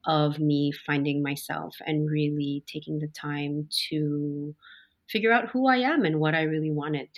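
A woman's voice: low-pitched, unhurried, muffled recording. A woman from Montreal, a podcast guest, speaking reflectively in a casual interview, with frequent ums and self-corrections. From Montreal, Canada. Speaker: podcast guest, human design guide.

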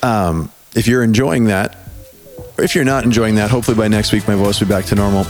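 A male podcast host talks in a loose, easygoing way, often restarting his sentences. His voice is hoarse and nearly gone, which leaves it sitting a little low. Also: brisk, darkish tone, faint music behind. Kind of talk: casual podcast chat.

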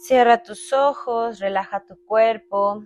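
A woman narrating a guided meditation in a calm, instructional manner with long pauses between short phrases. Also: on the high side, over music. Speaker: meditation guide, podcast host.

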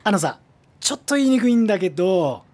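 An actress playing a weary film production colleague in a naturalistic Japanese radio drama, explaining things patiently and a little hesitantly. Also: female voice, medium pitch, very animated, fairly quick, a little mellow, lightly acted.

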